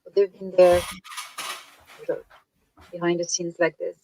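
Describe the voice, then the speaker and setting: A soft-spoken woman with a French accent, native French speaker, talking slowly as a guest on a video call and pausing to find her words. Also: fairly low pitch, completely flat delivery, slight background noise. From France. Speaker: guest author.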